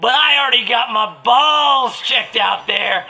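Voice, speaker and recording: A woman's voice: a little high, unhurried, bright, forceful tone, faint music behind, full character voice. A woman playing an eccentric, boastful, lewd professor in a goofy, theatrical radio drama parody. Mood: boastful, loud. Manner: theatrical, goofy, reads stage directions aloud. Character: eccentric, boastful, lewd astronomy professor, nerdy oddball man. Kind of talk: parody of 1930s radio drama, partly improvised.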